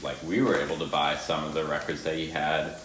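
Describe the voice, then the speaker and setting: Male haul-video co-host, deep voice, hesitant and understated delivery, unscripted, roomy sound. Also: level tone, fairly quick, fairly bright tone. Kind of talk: casual unscripted chat showing finds. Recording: roomy echo, some background noise.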